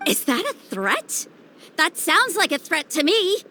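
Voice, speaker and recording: A woman's voice: very high-pitched, sing-song, a little slow, bright, forceful tone, slight background noise, heavily acted. A woman playing a fretful, stubborn young doctor who scolds like an indignant little sister in a video game cutscene. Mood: stern worry. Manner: indignant, emphatic, pleading.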